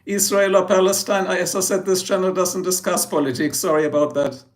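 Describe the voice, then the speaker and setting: High-voiced joking German-accented man. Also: a little fast. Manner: joking, rambling, laughs often. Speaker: livestream host. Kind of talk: casual livestream chat with viewers.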